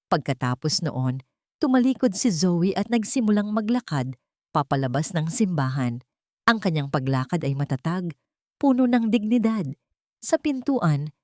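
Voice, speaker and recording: A woman's voice: on the low side, darkish tone, no echo, clean studio sound. A woman reading a scripted, dramatic romance story as its narrator.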